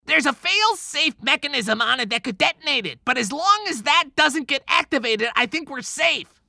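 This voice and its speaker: Creaky, bright, excitable little sidekick. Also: high-pitched, moderate pace, no echo, studio quality, full character voice. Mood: assured. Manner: sarcastic, animated, mouthy. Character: excitable, stubborn, wisecracking bearded male sidekick to Santa. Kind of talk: cinematic audiobook character acting.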